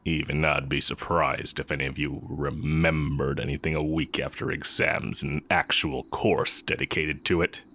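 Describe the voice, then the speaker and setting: A man playing a smug, amused evil magic teacher in a romantic audio roleplay, with a sardonic, teasing drawl and a very deep, creaky voice. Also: flat delivery, normal speed, few pauses, pushed and bright, a little hiss or hum, full character voice. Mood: dry, amused. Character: evil male magic teacher, heretic, smug, amused, fond of former student.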